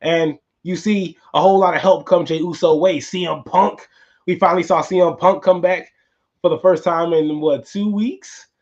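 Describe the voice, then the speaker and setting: Male solo podcast host, tired and going hoarse, casual and repetitive, a bit high-pitched, muffled lo-fi recording. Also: fairly even intonation, normal speed, a little mellow. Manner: casual, rambling, repeats himself. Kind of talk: solo wrestling recap podcast.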